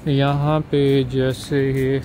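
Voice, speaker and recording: A man's voice: mid-range voice, level tone, takes it slowly, rough recording. A man with a Pakistani Urdu accent walking and talking through a casual travel vlog, loose and chatty with frequent fillers. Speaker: travel vlogger. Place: outdoors at a large urban complex. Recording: loud outdoor noise behind voice. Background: loud urban street noise and traffic.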